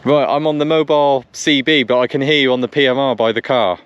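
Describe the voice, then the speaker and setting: A man's voice: mid-range voice, steady tone, quick pace, smooth, highs cut off. A man giving casual running commentary and radio calls, repeating himself as he thinks aloud. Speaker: vlogger testing two-way radios. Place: outdoors on a country lane. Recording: noisy outdoor recording with vehicle sounds.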